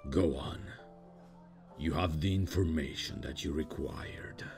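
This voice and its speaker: A man playing a stiff, awkwardly polite demon from hell in an ASMR comedy roleplay, speaking formally and haltingly in a deadpan way. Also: music in the background, exaggerated voice. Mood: stiff, pleased. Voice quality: very deep, gravelly. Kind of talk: ASMR roleplay, scripted comic monologue.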